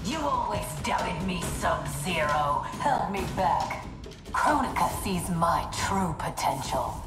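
A defiant young upstart ninja with a lowish female voice. She is proud, bitter and accusing, a video game villain, in a roomy echo with loud music behind her. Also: sing-song, slow.

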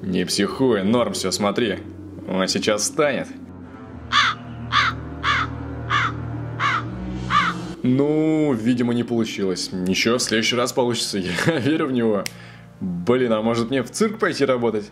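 A man's voice: mid-range voice, expressive, moderate pace, loud music behind the voice, lightly acted. A man voicing a cocky, nervous young rookie stalker in a cartoon, slangy, streetwise and sarcastic. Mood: flippant. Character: cocky, wisecracking male rookie stalker, nervous but cheeky. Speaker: voice actor. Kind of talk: cartoon dub acting.

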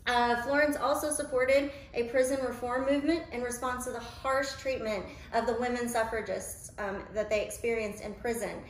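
A woman in her forties talks through a bit of history while she cooks, her voice sitting a little high and broken up with many ums and uhs. She is recorded from a few feet away, and the sound carries the echo of a roomy home kitchen. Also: fairly even intonation, normal speed, few pauses, a little hiss or hum. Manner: informative, with frequent ums and uhs. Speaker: museum educator. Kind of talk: cooking demonstration with history talk. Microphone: camera a few feet away. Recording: roomy kitchen, mic at a distance.

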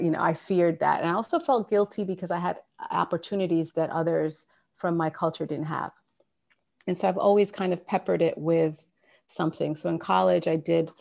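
Woman in her thirties from Sudan, low and gravelly, giving a casual talk over a video call. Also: a little mellow. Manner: conversational, many fillers and restarts. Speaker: guest speaker. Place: home office. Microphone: wired earphones on a video call.

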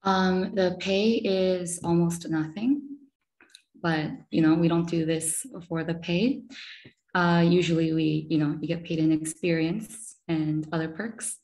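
A young woman with a lowish voice speaks over a video call from a small room. She talks easily and chattily, scattering in fillers as she goes. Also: steady tone, a little slow, darkish tone, low-quality recording. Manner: chatty, many fillers like "you know" and "um". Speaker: medical student speaker.

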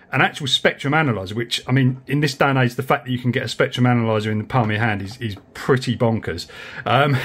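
A man's voice: medium pitch, a bit bright, breathy edge. A man in his forties presenting a casual, enthusiastic, informal tech review and explaining as he goes. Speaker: amateur radio vlogger and presenter. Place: small indoor room, home radio shack.

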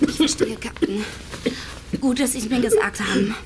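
An actress playing a composed young blind nurse with a soothing, deliberate way of talking and a hidden cold streak, in a German audio drama. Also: female voice, on the high side, noisy background, natural voice. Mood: hushed, soothing. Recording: music behind the voice.

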